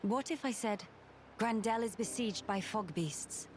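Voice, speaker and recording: An actress playing a composed, stern noblewoman in a video game cutscene, speaking in a formal, measured, authoritative way. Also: medium pitch, noisy background, natural voice. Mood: businesslike, firm. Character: composed, stern noblewoman urging a veteran to act.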